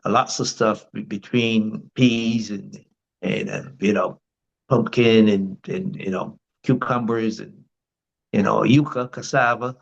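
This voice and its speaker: A man's voice: fairly low pitch, fairly even intonation, slow, vocal fry, highs cut off. An older man from Barbados with a Barbadian accent, telling his life story as a guest in a casual interview, rambling with many restarts.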